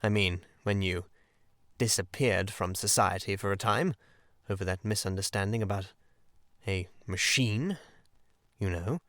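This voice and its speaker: A man voicing an earnest, excitable water rat in a storybook, speaking in an emphatic and deliberate manner. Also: fairly low pitch, no room sound, clean studio sound. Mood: grave, confiding. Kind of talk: audiobook character acting.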